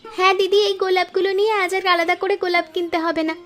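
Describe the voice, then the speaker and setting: A woman's voice: very high-pitched, little pitch movement, racing, a bit bright. A woman voicing earnest, hopeful young flower-selling sisters in a moral-story cartoon, speaking politely. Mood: cheerful.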